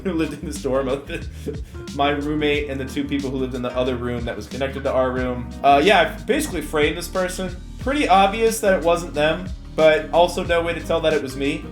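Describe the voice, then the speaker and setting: High-voiced young Californian man, echoey room. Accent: Californian American. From California, United States. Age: young adult. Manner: animated, slangy, self-mocking. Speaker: YouTuber telling stories. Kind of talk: casual storytime chat to viewers. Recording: echoey room with music bed. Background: background music with guitar.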